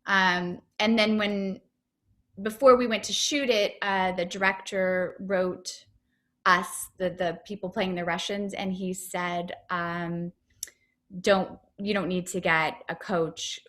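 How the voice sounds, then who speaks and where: A woman's voice: mid-range voice, steady tone, takes it slowly, a bit bright. A woman comedian and actor answering interview questions over a video call, chatty and upbeat, with lots of fillers and restarts.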